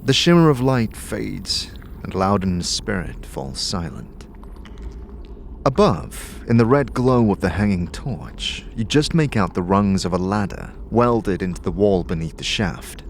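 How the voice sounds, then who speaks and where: A man narrating a fantasy audio drama in the second person, with a slow, grim and deliberate delivery. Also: low-pitched, expressive, vocal fry, slight background noise. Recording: faint music underneath.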